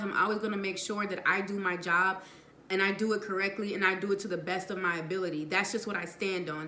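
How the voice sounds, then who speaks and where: A woman vlogger with a hoarse, raspy voice from a cold, talking earnestly and encouragingly to her viewers about faith, repeating phrases for emphasis. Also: mid-range voice, pushed and bright, reverberant, slight background noise. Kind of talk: casual encouraging talk to camera.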